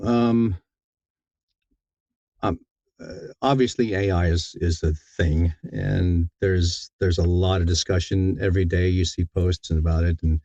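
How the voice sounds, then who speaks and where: A man giving thoughtful interview answers in a halting, deliberate way, often repeating words as he thinks. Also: low-pitched, dark tone, no echo, highs cut off. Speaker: audiobook narrator, interview guest.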